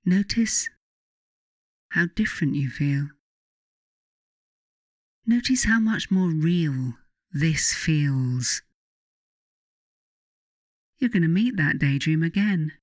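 A meditation guide reads at a very unhurried pace in a soothing, instructing manner. The voice sits in a middle register and is recorded very dry, with no room around it. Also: medium pitch, no room sound. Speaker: author narrating a guided meditation. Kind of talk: guided meditation, scripted narration.

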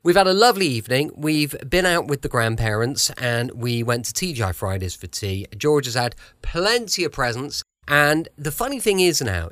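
A middle-aged British man hosts a podcast in a dry, close recording. His pitch moves up and down a great deal as he chats casually and a little reflectively. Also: fairly bright tone, no echo. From England. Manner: chatty, reflective. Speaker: podcast host. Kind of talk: casual, chatty show introduction.

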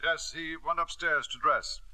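A domineering husband in an old radio play. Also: male voice, a little high, unhurried, bright, forceful tone, no room sound, poor audio. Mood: brusque. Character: Arnold Bolt, forceful domineering middle-aged man. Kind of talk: 1950s radio drama acting. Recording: old radio broadcast with faint music.

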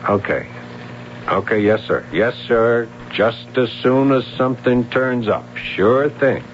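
A man's voice: medium pitch, takes it slowly, lightly acted. An American actor, a man, playing a weary, sceptical police sergeant in a 1970s radio drama, speaking plainly and a little hesitantly. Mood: dutiful. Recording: dull, noisy old radio broadcast.

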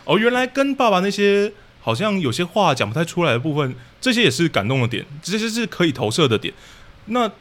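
A man's voice: high voice, big pitch swings, brisk, a bit bright. A man with a Taiwanese Mandarin accent talking casually as an interview guest, explaining his work as a drama director analytically.

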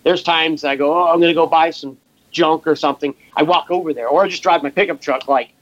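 A man from Massachusetts, a guest telling relaxed, rambling work stories in a casual interview. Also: a little high, fast, no room sound, muffled recording. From Massachusetts, USA. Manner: laid-back, rambling, many fillers. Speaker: guest, race shop welder.

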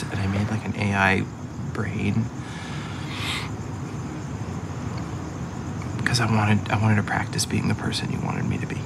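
A man playing a guilty, flustered ex-boyfriend in a naturalistic audio drama, halting and apologetic as he pleads. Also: fairly low pitch, vocal fry, heavy background noise. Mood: confessing.

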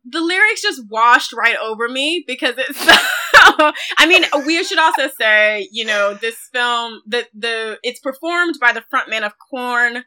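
A woman in her thirties co-hosting a podcast, casually discussing films in an expressive, effusive way. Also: a little high, measured pace. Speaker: podcast co-host.

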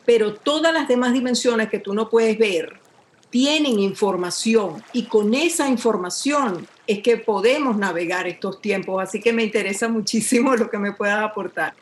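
A woman's voice: fairly high pitch, normal speed, slight background noise. A woman, a guest on a podcast, explaining her views in an earnest, articulate way. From Venezuela. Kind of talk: casual podcast conversation.

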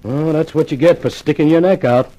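Actor playing a glib, mellow-voiced newspaper columnist. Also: male voice, fairly high pitch, fairly quick, muffled recording, close to an everyday voice. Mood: cocky, glib. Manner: glib, wry, self-assured. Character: wisecracking, cocky male newspaper columnist, a suave flirt. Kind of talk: 1950s radio detective drama acting and narration.

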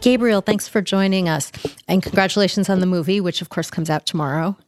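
A woman's voice: on the low side, a little fast, soft background music. A woman hosting an interview show, delivering introductions and prepared questions.

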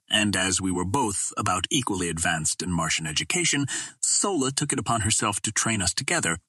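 A man reading a first-person story as a literary narrator, in a measured and formal storytelling manner. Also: fairly low pitch, a bit bright, husky, dry recording. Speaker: audiobook narrator.

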